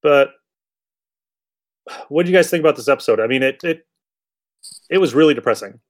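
A man's voice: on the high side, animated, fairly quick. A man hosting a podcast, chatting casually about an old radio show in a blunt, irreverent way with some swearing. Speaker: podcast host.

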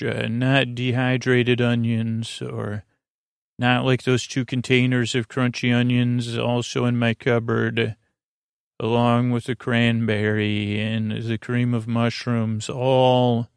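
Man, podcast host, creaky fry, flat lulling intonation, meandering, halting, bedtime storytelling. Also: medium pitch, no echo, studio quality. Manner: lulling, meandering, halting delivery with trailing asides. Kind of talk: rambling, soothing bedtime monologue.

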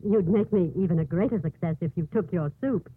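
A woman playing a kind, patient but persistent nursing nun in a 1950s radio drama, with a husky voice. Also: lowish voice, brisk, noisy background, natural voice. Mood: patient, persuasive. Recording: muffled phone-like old radio broadcast.

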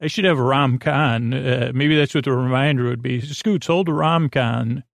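A middle-aged man with a creaky voice hosting a bedtime podcast, rambling in a silly, halting way and drifting off mid-thought. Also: medium pitch, no echo. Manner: meandering, halting, repeats and trails off mid-thought; silly, whimsical. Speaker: podcast host.

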